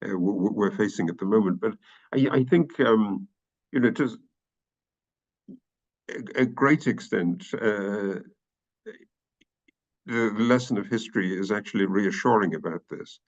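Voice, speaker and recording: A man's voice: lowish voice, unhurried, pauses often, muffled recording. A British man from the UK as an interview guest, explaining at length with frequent fillers and restarts. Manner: hesitant, many restarts and fillers. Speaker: guest expert. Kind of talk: podcast interview.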